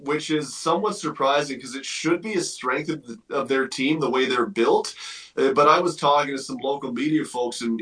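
A man's voice: mid-range voice, bright, forceful tone, distant mic, low-quality recording. A man giving opinionated hockey analysis as a guest on a sports radio interview, conversational and emphatic, thinking aloud as he goes.

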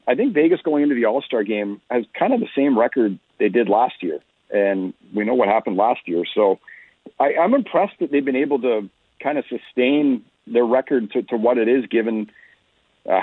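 Phone-line male hockey analyst, slightly husky. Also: mid-range voice, expressive, moderate pace, dry recording. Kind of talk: radio sports interview analysis. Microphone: remote phone-like connection. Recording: narrow phone-like line, muffled detail.

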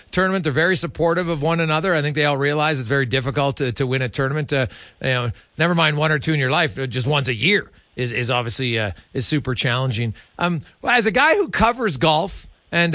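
A man's voice: on the high side, pushed and bright, no echo. Dry-sounding man hosting sports radio. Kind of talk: conversational live radio interviewing.

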